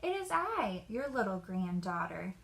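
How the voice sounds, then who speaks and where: A woman's voice: medium pitch, very animated, a little slow, vocal fry, a bit bright, heavily acted. A woman voicing an innocent, trusting little girl from a storybook, polite and earnest. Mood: wondering. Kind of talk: children's storybook character voice.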